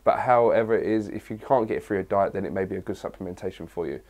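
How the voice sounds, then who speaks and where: A young man from England with a cold and a hoarse voice, chatting his way through a casual Q&A vlog. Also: fairly low pitch, fast, a little mellow. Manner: chatty, rambling, reads questions aloud. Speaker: fitness vlogger.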